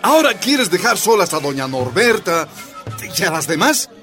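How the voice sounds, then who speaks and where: A gruff working-class Bolivian husband in a radio drama. His pitch jumps around as he challenges his wife emphatically in a Bolivian accent. Faint music plays behind. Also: big pitch swings, moderate pace, a bit bright. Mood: defiant, challenging. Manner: emphatic, scolding.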